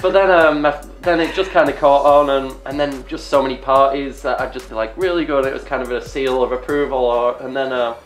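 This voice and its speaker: A man with an English accent softened by years in Canada, chatting casually as an interviewed DJ in a laid-back, rambling way. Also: mid-range voice, fairly even intonation, fairly quick, few pauses. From England. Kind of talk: casual chat. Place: indoors, studio interview. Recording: roomy echo, music behind. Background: music.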